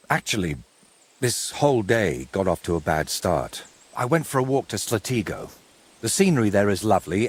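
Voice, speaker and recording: Husky middle-aged male painter for a medieval game: courteous, wry, florid, very animated intonation. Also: medium pitch. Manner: theatrical, wordy, courteous. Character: wry, wordy ageing medieval painter, robbed but polite. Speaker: video game character. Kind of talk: game cutscene dialogue.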